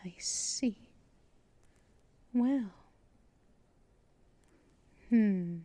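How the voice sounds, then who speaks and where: A woman's voice: mid-range voice, mellow, dry recording. Woman playing a whispery, teasing vampire mistress. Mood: teasing, possessive. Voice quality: breathy, intimate whisper. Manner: slow, half-whispered, purring and teasing. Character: commanding female vampire mistress, possessive, teasing. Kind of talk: ASMR audio roleplay.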